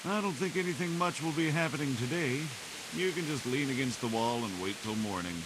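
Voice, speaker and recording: A man's voice: mid-range voice, expressive, brisk, close to an everyday voice. An actor playing an easygoing, teasing veteran castle watchman in a medieval video game. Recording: hissy, rain and thunder behind.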